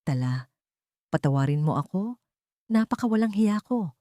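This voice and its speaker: A woman's voice: on the low side, lively intonation, moderate pace, dark tone, dry recording, studio quality, lightly acted. Woman voicing a remorseful, pleading wealthy man. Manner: earnest pleading in short, broken phrases.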